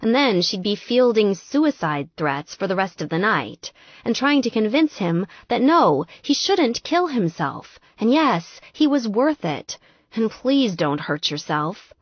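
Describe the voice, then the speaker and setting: A woman narrating an audiobook novel in an expressive, scripted storytelling style. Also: mid-range voice, unhurried, dry recording, dull audio.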